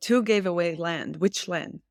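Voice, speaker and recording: A woman's voice: fairly low pitch, measured pace, dry recording, clean studio sound. A woman from Israel speaking as an interview guest in a political discussion, challenging and insistent, repeating key phrases for emphasis.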